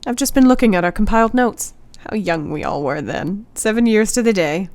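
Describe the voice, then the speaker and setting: Woman acting an earnest, animated period heroine. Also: medium pitch, a little fast, close to an everyday voice. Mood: fond, wistful. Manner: earnest, dramatic, formal period phrasing. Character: earnest, brave young married woman under vampire's curse. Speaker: actor. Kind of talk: radio drama acting.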